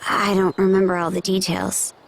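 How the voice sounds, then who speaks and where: A woman's voice: medium pitch, monotone, normal speed, gravelly. An actress playing a plain-spoken young woman fighter in a video game, talking in a casual, wry, reflective way. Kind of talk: video game cutscene voice acting.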